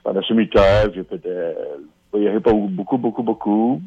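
A man's voice: lowish voice, steady tone, normal speed, husky, no room sound. A man in his thirties with a Québécois French accent, calling in to a radio show and rambling hesitantly with many fillers. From Quebec. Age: 30s. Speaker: radio caller. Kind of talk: casual phone-in chat. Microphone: telephone handset. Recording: dull muffled phone line.

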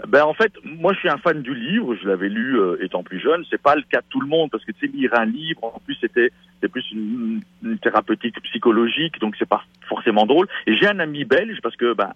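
Comédien à la voix voilée, bavard et enthousiaste, son radio terne, sec. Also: male voice, mid-range voice, animated, a little fast, husky, no echo. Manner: chatty, enthusiastic promoter. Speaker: stage actor, guest. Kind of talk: radio interview. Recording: dull lo-fi radio sound.

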